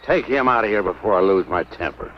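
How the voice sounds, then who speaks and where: A man portrays a gruff American country sheriff in an old monster film, low-voiced with lively swings. He is sarcastic, sceptical and short-tempered. The soundtrack is dull, with faint noise. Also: a little fast, natural voice. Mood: sarcastic, angry. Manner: blunt, sarcastic, interrogating. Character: gruff, impatient, sceptical small-town sheriff. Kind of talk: 1950s B-movie acting.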